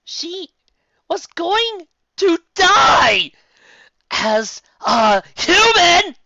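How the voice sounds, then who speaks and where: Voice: very high voice, very animated, drawn-out words, pushed and bright, no room sound, highs cut off, pitched above the performer's own voice, full character voice. A narrator voicing a hot-headed female unicorn in a comic story, shrieking and ranting in overwrought indignation. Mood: hysterical outrage. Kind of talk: acted comic fan-story voice.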